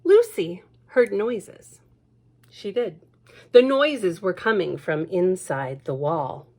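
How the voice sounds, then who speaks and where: A woman librarian reading a picture book aloud to children in a gentle, hushed manner, with whispered asides. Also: on the low side, lively intonation, slow. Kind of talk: storytime read-aloud for children.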